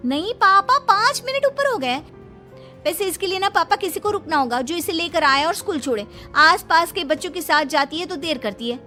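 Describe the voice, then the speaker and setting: A woman's voice: very high voice, expressive, normal speed, bright, forceful tone, soft background music, exaggerated voice. A young woman voicing a talkative, bossy but caring teenage big sister who scolds and fusses in a moral story. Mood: worried nagging. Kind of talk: acted moral story dialogue.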